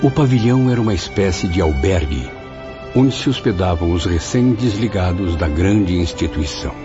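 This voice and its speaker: A man's voice: sits low, expressive, moderate pace. A man with a Brazilian accent narrating a radio drama in a literary storytelling style. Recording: dull audio under loud music.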